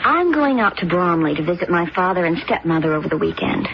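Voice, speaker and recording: A woman's voice: on the low side, sing-song, fast talker. An actress playing a young rich woman who coolly plots a murder in a radio drama. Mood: calculating. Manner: cool, calculating, insistent. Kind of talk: 1970s radio drama acting. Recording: dull old radio broadcast, noisy.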